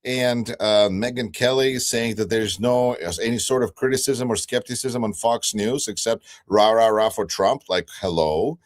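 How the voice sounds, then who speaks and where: Low-voiced man, Russian first language. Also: normal speed, hardly any pauses. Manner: hesitant, many fillers and restarts. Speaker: guest commentator. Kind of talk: casual political talk. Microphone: remote livestream call.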